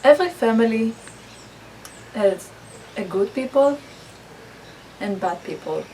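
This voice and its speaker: A woman from Israel rehearsing a personal speech, halting, with restarts and non-native grammar slips. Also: fairly high pitch, expressive, dark tone, a little hiss or hum. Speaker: public speaking student. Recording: roomy, distant mic, background ambience.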